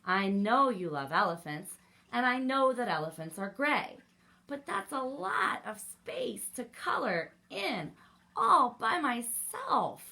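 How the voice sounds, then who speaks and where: A woman voicing a weary, exasperated gray crayon in a children's storybook, with plaintive, drawn-out complaining. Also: fairly high pitch, sing-song, gravelly, pushed and bright, pitched above the performer's own voice, full character voice. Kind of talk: storybook read-aloud for children.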